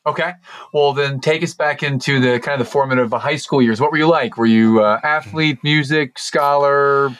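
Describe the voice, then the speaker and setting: A man's voice: mid-range voice, moderate pace, a bit bright, clear, resonant tone, no echo. A man hosting a podcast, drawing out his guest with long, rambling, encouraging questions. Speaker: podcast host. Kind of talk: casual podcast interview.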